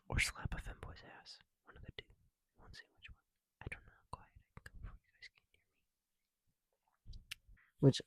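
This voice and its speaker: A man records a casual ASMR chat in a dry, close space. He rambles with swearing and teasing, slipping between hushed murmurs and fuller speech. His breath is audible through a mask. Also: on the low side, no room sound. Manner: drifts between soft whispering and louder talk. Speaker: ASMR creator. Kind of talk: casual first-person ASMR build chat. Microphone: close mic, speaking through a mask.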